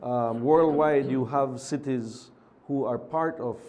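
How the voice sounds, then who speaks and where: A man who is a city mayor, speaking as a TV studio guest in measured, formal terms. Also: mid-range voice, darkish tone. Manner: measured, formal civic phrasing. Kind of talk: TV interview guest.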